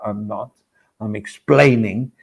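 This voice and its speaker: A gravelly-voiced man hosting an after-stream chat. He rambles in a relaxed, casual way and stops to read viewer comments aloud. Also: lowish voice, slow pace, highs cut off. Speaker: stream host.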